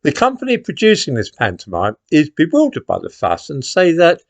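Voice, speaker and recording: A man's voice: a little high, huge pitch swings, brisk, vocal fry, no echo. An older man with an English accent delivering an opinion monologue in a sardonic, indignant manner, leaning on rhetorical questions. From England. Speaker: commentator, host.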